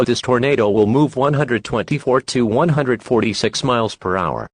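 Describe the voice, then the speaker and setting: A man's voice: medium pitch, dry recording. A synthetic-sounding man reading scripted emergency weather alerts in a formal announcer's manner.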